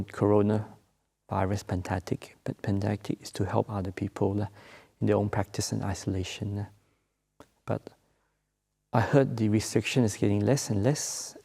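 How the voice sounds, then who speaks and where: A man from Malaysia with a Malaysian accent, speaking English as a second language, giving a Buddhist Dharma talk as a monk, gentle and halting, with many filler sounds. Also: fairly low pitch, creaky, husky. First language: not English. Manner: gentle, unhurried teaching.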